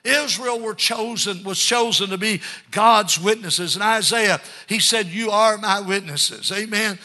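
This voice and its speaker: An older male preacher with a high voice and a very sharp, forceful tone. He delivers a fervent Pentecostal sermon, exhorting the congregation and dropping frequent amens between his points. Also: a little slow. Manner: exhorting, punctuated with frequent amens and calls for response.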